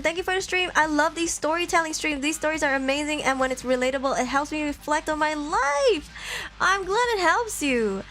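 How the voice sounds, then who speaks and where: A young woman from Malaysia chats with viewers on a live stream in a voice pitched a little high. She laughs often, and her pitch leaps when she gets excited. Faint music plays behind her. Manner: chatty, laughs often, lively jumps in pitch. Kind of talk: casual live-stream chat, reading donation messages. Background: faint background music.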